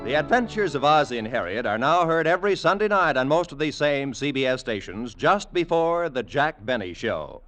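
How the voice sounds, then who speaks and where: A man's voice: medium pitch, very animated, moderate pace, few pauses, a bit bright. A man reading scripted credits as a 1940s network radio announcer. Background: music.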